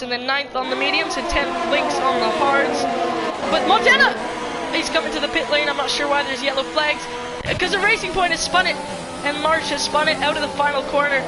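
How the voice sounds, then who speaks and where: A woman commentating a sim race live, excitable and slightly hoarse from losing her voice. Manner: excitable, rising pitch in close battles. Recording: loud crowd and engine noise behind. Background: race car engines and crowd ambience.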